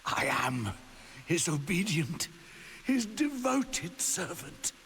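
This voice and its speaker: An actor playing an arrogant, devout old sorcerer in a video game, sly, sanctimonious and theatrical. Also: male voice, high voice, very animated, a bit bright, heavily acted. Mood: defiant, fervent. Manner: sly, sanctimonious, drawn-out delivery. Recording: long hall reverb, faint background noise.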